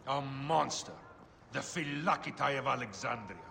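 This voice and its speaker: An actor playing a furtive, florid poet in ancient Alexandria, expressive and conspiratorial, in a video game. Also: male voice, mid-range voice, normal speed. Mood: grave, discreet. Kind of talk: dramatic video game acting. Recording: long reverb, clear background noise.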